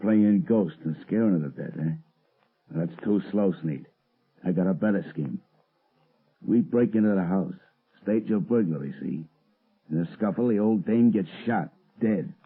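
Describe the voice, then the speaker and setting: A man's voice: low voice, a little slow, breathy edge, heavily acted. An actor playing a threatening gangster and escaped convict with blunt, slangy tough-guy talk in an old radio drama. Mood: hard, pushy. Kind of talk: 1930s radio drama acting. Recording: muffled, narrow old radio recording.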